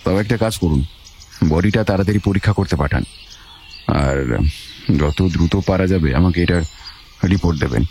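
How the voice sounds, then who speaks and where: An actor playing a brisk, confident, commanding detective in an audio detective story, questioning and instructing in clipped phrases. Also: male voice, deep voice, a little mellow, a little hiss or hum.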